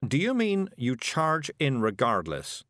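A man voicing a prim, polite older witch who speaks slowly and carefully. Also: mid-range voice, wildly swooping pitch, fairly bright tone, no echo, clean studio sound, voice pushed up. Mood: puzzled. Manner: slow, careful, genteel.

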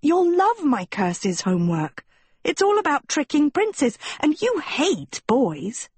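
A woman's voice: sits high, wildly swooping pitch, slow, darkish tone, dry recording, voice pushed up, exaggerated voice. A woman voicing a dramatic, indignant and pleading teenage girl in a storybook, with theatrical emphasis. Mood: excited, wheedling. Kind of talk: acted storybook dialogue.

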